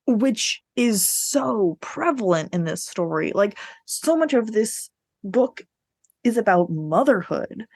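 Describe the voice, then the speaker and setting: A woman's voice: mid-range voice, lively intonation, slow, a little mellow. Female podcast co-host, mid-range voice, frank and opinionated, informal book chat. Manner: candid, opinionated, laughs while talking. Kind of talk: casual book discussion.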